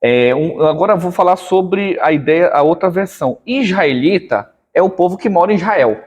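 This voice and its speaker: A Brazilian man with a Brazilian Portuguese accent walks listeners through history, slow to build each point with a plain example. His voice sits slightly high. The room leaves a long reverberant tail behind every phrase. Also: lively intonation. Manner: patient, didactic, uses examples. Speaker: guest history teacher. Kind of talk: explanatory podcast conversation.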